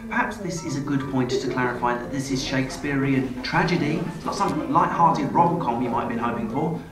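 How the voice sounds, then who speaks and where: A man's voice: medium pitch, lively intonation, fairly quick, over music. A man delivering comic scripted narration in a wry, playful manner. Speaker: comedian and presenter. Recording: roomy, distant playback with loud crowd noise.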